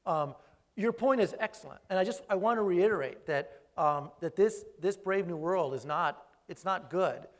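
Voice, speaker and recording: A researcher answers audience questions after his lecture, a man whose first language was Greek. His voice sits a little high for a man, and he circles his points with restarts and pauses. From raised speaking Greek first. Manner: hesitant, restarts, thoughtful.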